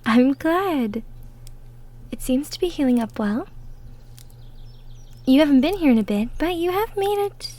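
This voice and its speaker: A woman playing a cheerful, gentle young woman from the woods in an intimate roleplay audio drama, with a sweet, folksy manner. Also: high voice, animated, unhurried, long pauses. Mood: friendly, curious.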